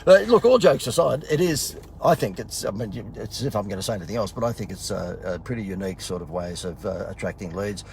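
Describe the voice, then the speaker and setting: A lowish-voiced man rambles through a casual promo chat in a car, with plenty of fillers and restarts. His voice is a little husky from talking too much, and faint noise hums behind him. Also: lively intonation, quick pace, few pauses, a little mellow. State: slightly husky from talking a lot. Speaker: marketing consultant.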